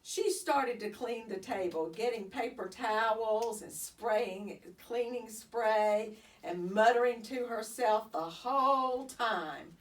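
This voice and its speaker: A woman narrating a children's story expressively, with deliberate, dramatic phrasing. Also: a little high. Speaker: storybook reader. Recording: slightly distant microphone.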